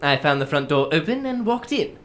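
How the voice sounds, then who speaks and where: Woman doing calm articulate gentleman detective, radio drama, lowish and lilting. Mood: composed. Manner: measured, articulate, unruffled.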